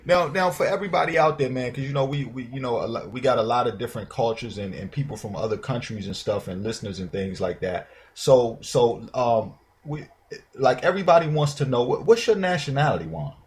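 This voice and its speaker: A man's voice: medium pitch, lively intonation, brisk, a little hiss or hum. A man hosting a casual interview, speaking informally with slang and frequent encouraging affirmations. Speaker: interview show host.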